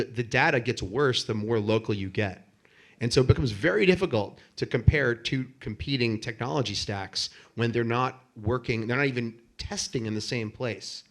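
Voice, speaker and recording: A man on a conference panel making assertive, emphatic closing points in measured statements. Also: mid-range voice.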